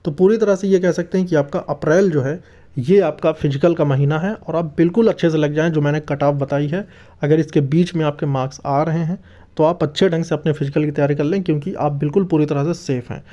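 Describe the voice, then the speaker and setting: Guy doing a youtube update, soft mellow tone, kinda muffled lofi audio, repeats his points. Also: a little high, fairly even intonation, brisk. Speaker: YouTube host giving exam news. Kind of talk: informal news update addressed to viewers. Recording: dull, lo-fi muffled sound.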